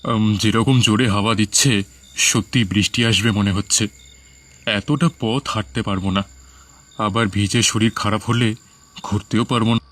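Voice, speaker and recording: A man's voice: fairly low pitch, fairly even intonation, moderate pace, pauses often, fairly bright tone. A man voicing a puzzled, hesitant lost traveller in a horror cartoon. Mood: hesitant, resigned. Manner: questioning, hesitant.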